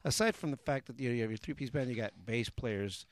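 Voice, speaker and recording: A man's voice: mid-range voice, a little fast, no echo. A man hosting a talk show, interviewing guests and callers in a casual radio style.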